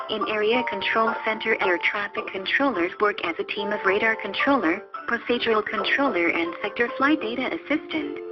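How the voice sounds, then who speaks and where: Woman, scripted explainer narration, measured formal reading, dull lo-fi sound, music bed. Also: medium pitch. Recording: muffled lo-fi audio under loud music.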